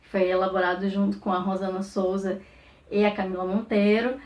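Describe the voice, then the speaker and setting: A woman with a Brazilian Portuguese accent speaks at a middle pitch. She sounds like an academic reflecting aloud without a script, conversational in delivery, with frequent short tag questions at the ends of phrases. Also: level tone, brisk, darkish tone. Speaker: academic researcher and professor. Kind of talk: informal recorded testimonial, unscripted reflective talk.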